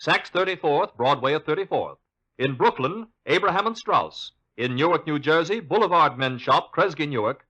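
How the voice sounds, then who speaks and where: A male announcer reading an ad store list in a crisp manner. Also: a little high, lively intonation, normal speed, bright, forceful tone, muffled recording. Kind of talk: reading an ad tag.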